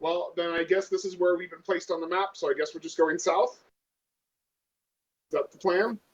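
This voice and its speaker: A man's voice: sits high, steady tone, moderate pace. A man playing a tabletop game online, chatting casually, heard through muffled call audio.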